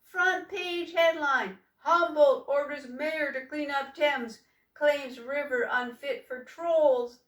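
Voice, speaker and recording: A woman's voice: mid-range voice, expressive, moderate pace, fairly bright tone. A woman doing a big sneering bully troll. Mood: jeering. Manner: mocking and taunting. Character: large jeering bully male troll. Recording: echoey room, distant mic.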